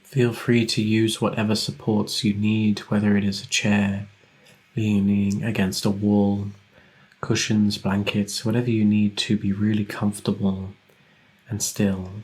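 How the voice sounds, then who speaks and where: A man guides a meditation in a fairly low voice. His intonation stays very flat and steady. He gives gentle, unhurried instructions and leaves long pauses between phrases. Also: rough recording. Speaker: meditation teacher.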